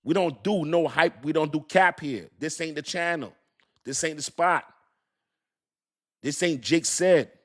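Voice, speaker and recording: A man's voice: fairly high pitch, a little slow, pauses often, a bit bright. Hyped slangy man hosting a crypto stream. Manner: hyped, repetitive, slangy, talks straight to chat. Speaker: crypto livestream host. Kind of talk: excited casual livestream talk to chat.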